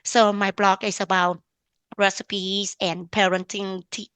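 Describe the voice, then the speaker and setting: A woman's voice: mid-range voice, takes it slowly, clear, resonant tone, dry recording. A woman from Vietnam with a Vietnamese accent, speaking English as a second language, chatting animatedly as a podcast guest. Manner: animated, laughs often, non-native phrasing. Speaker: podcast guest, lifestyle blogger. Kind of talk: casual interview chat.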